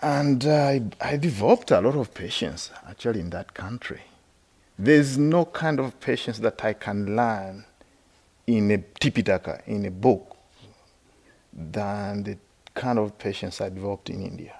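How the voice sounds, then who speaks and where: A middle-aged man from Uganda, a Buddhist monk, giving a halting, explanatory dharma talk with many fillers at a meditation retreat. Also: fairly low pitch, vocal fry, darkish tone, breathy edge. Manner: laughs while telling stories.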